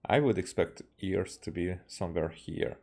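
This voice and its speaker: A man's voice: sits low, moderate pace, darkish tone. A man with a Hungarian accent, casually rambling as a live game streamer in a hesitant, musing way.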